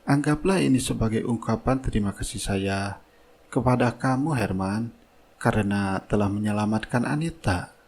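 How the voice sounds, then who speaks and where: A man playing a wealthy, fatherly company boss in a cartoon drama, speaking formally with calm authority. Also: fairly low pitch, moderate pace, a little hiss or hum, lightly acted. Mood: assured, generous.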